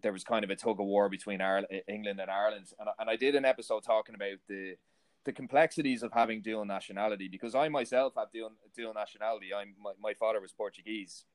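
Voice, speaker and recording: Rambling low-voiced male host. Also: fairly even intonation, fast. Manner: long rambling questions with many fillers. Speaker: podcast host, interviewer. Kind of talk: conversational interview questions.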